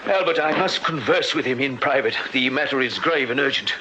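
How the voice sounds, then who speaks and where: An actor playing a blustering, anxious Victorian gentleman who runs a music hall, in a pompous radio drama manner. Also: medium pitch, fairly quick, highs cut off, a little hiss or hum, lightly acted. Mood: indignant, agitated. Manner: pompous, indignant, agitated. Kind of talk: full-cast radio drama acting.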